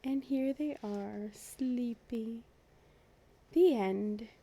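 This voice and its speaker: A woman reads a picture book aloud to young children. Her voice is soft-edged and mellow, and she takes her time over each phrase. She narrates expressively, as the host of a storytime. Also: on the high side, extremely slow. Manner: clear storytelling with animated asides to young listeners.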